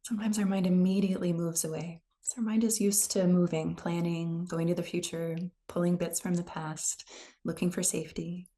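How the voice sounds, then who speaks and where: A woman leading a guided meditation in a gentle, unhurried, soothing manner. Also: lowish voice, little pitch movement. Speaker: meditation teacher.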